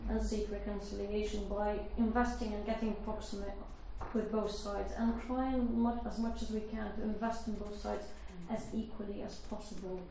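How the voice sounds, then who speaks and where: A Palestinian woman speaking earnestly to a group, measured with some halting restarts. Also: mid-range voice, vocal fry, a little mellow. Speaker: speaker answering questions. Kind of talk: earnest talk to a live group. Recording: echoey room from far off, faint noise.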